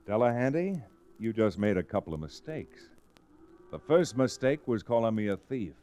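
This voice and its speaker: Frontier lawyer hero: lowish voice, swinging intonation, brisk, wry, confident, vintage radio Western style. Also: male voice, sing-song, warm tone. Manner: brisk, emphatic, sardonic. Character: wry, confident young cowboy lawyer, quick to fight. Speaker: lead actor and first-person narrator. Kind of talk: old-time radio Western acting.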